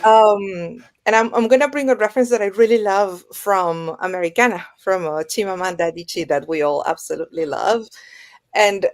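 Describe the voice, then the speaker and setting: A woman's voice: medium pitch, normal speed. A woman guest on a podcast, talking reflectively and building her ideas up in lists. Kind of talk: reflective conversational podcast talk.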